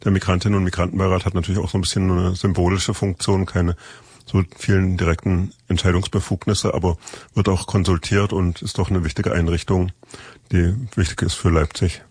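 Husky, deep-voiced male interviewer. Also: flat delivery, brisk, darkish tone, no room sound. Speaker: interviewer, radio host. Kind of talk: interview questions. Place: summer party at an art gallery.

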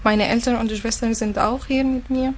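A woman's voice: on the high side, fairly even intonation, normal speed, slight background noise. A woman from Syria with an Arabic accent, answering as an interview guest in non-native phrasing. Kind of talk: personal talk in a radio interview.

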